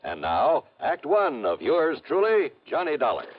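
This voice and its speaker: Old radio announcer man, dramatic, slightly high, big music behind. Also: wildly swooping pitch, a little slow, narrow, tinny audio. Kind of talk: dramatic 1950s radio show introduction.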